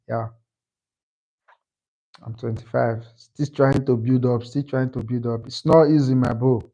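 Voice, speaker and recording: A man in his twenties runs a casual live stream, speaking at a slow, unhurried pace. He loops the same short thanks and calls to the chat again and again. His voice sits at an ordinary middle pitch. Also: poor audio. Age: 20s. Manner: slow, repetitive shout-outs to chat. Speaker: livestream host.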